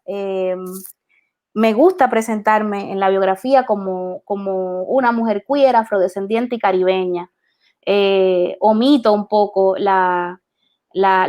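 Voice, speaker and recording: Thoughtful woman, high voice. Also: a little mellow. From Puerto Rico. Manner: thoughtful, many hesitations and restarts. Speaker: interviewed artist. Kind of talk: reflective conversation.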